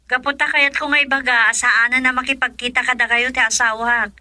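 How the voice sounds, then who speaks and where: Worried young ilocano wife, radio drama, highish voice, very dry recording. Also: female voice, little pitch movement, normal speed, pushed and bright, no room sound. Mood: anxious, pleading. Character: worried young wife pleading with husband and father.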